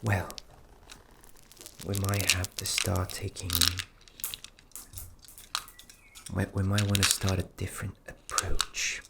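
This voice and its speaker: A performer voicing a flustered, kindly fairy magic specialist in a fantasy ASMR roleplay, speaking softly and hesitantly, often dropping into a whisper. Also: deep voice, gravelly, noisy background, heavily acted. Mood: pensive, hesitant. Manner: hesitant, halting, repeats words, often whispering.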